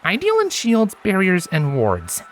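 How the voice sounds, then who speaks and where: A man's voice: sits high, huge pitch swings, unhurried, soft background music, voice pushed up, exaggerated voice. A man voicing an easygoing young stallion, a pony mage in a fantasy story, casual and reassuring. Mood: reassuring, persuasive.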